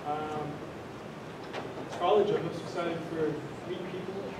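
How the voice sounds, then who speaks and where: A man's voice: fairly high pitch, fairly even intonation, unhurried. A young American man from Arizona casually introducing himself as a new student. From Arizona, United States. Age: young adult. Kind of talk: brief casual self-introduction. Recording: distant, very echoey room, clear background noise.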